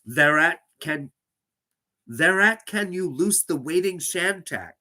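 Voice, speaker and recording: A man's voice: a little high, expressive, slow, bright, forceful tone, pitched above the performer's own voice, exaggerated voice. A man voicing an otherworldly, godlike speaker who recites archaic fantasy prose in a weird, florid, declamatory manner. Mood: grand, declamatory.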